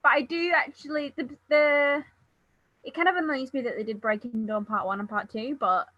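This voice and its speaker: Higher-voiced woman in a casual book chat. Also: fairly even intonation, moderate pace, dry recording, dull audio. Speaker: book readalong participant.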